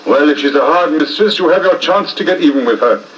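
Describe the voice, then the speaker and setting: A man's voice: on the high side, animated, a little fast, a bit bright, slight background noise. An actor playing an oily, businesslike male blackmailer with a British accent in a 1950s radio drama. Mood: smug, businesslike. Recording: muffled distant 1950s radio recording.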